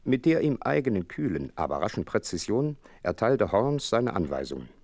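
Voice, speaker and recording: An actor playing a proper, puzzled doctor who also narrates an audio drama in a formal, measured way. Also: male voice, on the low side, very animated, a little mellow. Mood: composed narrating.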